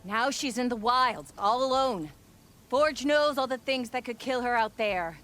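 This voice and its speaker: Blunt, worried crew member. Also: female voice, fairly high pitch, animated, measured pace, fairly bright tone, faint music behind. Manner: blunt, clipped phrases. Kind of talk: video game cutscene acting.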